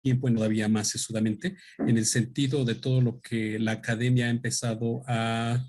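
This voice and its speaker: A man speaks into a microphone at a book presentation. His pitch is mid-range for a man, and he talks in a thoughtful, halting way, often pausing and restarting his sentences. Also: flat delivery, a little mellow. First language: Spanish. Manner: thoughtful, hesitant, with many fillers and restarts. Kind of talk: unscripted talk at a book presentation.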